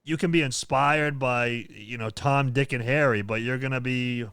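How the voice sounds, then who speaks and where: A man's voice: medium pitch, fairly even intonation, moderate pace, a bit bright, clear, resonant tone, no room sound, studio quality. A man hosting a casual podcast chat, rambling with frequent restarts and easy laughs. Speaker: podcast host and metal vocalist.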